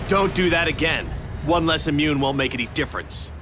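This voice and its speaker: An actor plays a cold man in command, his voice a little high. He is curt and domineering in game cinematic style, with clear music behind him. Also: a little fast, close to an everyday voice. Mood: smug, threatening. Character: cold, commanding male official running a secret operation. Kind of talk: video game cinematic acting.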